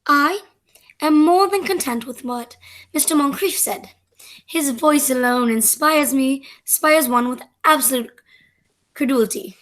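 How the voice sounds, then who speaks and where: Woman playing prim romantic girl, high-pitched, formal speech, period comedy, radio play. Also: unhurried, fairly bright tone. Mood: earnest, smitten. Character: Cecily, prim, romantic young country girl of society.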